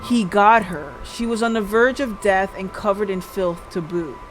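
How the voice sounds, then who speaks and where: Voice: medium pitch, moderate pace, faint music behind, poor audio. A game streamer reading a visual novel aloud as dramatic narration, sometimes stumbling and rereading.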